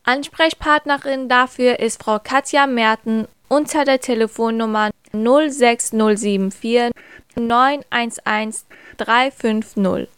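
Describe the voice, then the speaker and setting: Young female co-host, clear voice, somewhat high, dry close recording. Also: takes it slowly, fairly bright tone, no room sound. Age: young adult. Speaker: co-host and reporter. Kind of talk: reading a farewell and announcements.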